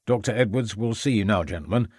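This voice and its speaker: A man's voice: on the low side, fast talker, darkish tone, husky, no echo, clean studio sound. A man voicing an elderly, suspicious and polite male porter. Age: older.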